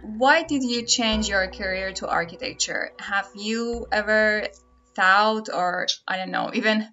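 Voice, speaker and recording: A woman's voice: medium pitch, a little slow, pushed and bright, muffled recording. A woman whose first language is Persian, hosting a podcast and introducing her guest in an upbeat way. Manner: upbeat, laughing between phrases. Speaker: podcast host.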